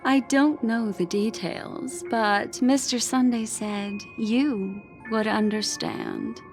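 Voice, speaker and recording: A poised game envoy woman who needles with knowing condescension. Her theatrical lines come unhurried, with music clearly playing behind her. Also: a little high, big pitch swings, heavily acted. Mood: knowing, composed. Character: poised, needling female envoy and exposer of lies. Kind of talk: video game voice acting.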